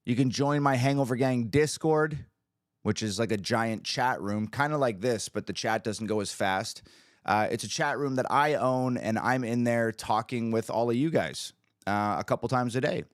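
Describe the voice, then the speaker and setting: A man hosting his own livestream, a musician chatting casually with viewers, upbeat and hyped, his voice a little raspy from screaming the night before. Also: medium pitch, normal speed, no room sound, studio quality. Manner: upbeat, hyped, talks to the chat.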